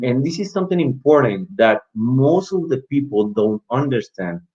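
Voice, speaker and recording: Man with Latin American Spanish accent on a dull call. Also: medium pitch. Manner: repetitive restarts, asks and answers his own questions. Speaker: basketball referee speaker in an online session. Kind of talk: informal presentation to referees. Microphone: video call.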